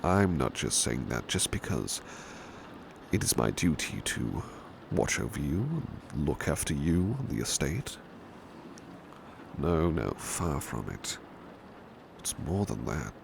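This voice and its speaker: A British man playing a devoted, gentle butler in an ASMR roleplay, speaking softly and courteously with hushed, intimate delivery. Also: extremely low pitch, exaggerated voice. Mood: tender, reassuring. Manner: formal, courteous, hushed and hesitant, with long pauses. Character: devoted, gentle, protective male butler of an estate. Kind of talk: ASMR roleplay, soft intimate speech slipping into whispers. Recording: clear steady rain-like background noise.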